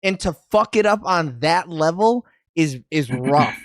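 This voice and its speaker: Blunt, higher-pitched man co-hosting a podcast. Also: expressive, unhurried, dry recording, clean studio sound. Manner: blunt, emphatic, swears freely. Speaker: podcast co-host. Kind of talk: casual chat.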